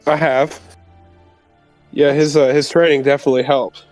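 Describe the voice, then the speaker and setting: A man playing a tabletop roleplaying game, speaking casually in character and rambling haltingly. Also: on the high side, flat delivery, music in the background.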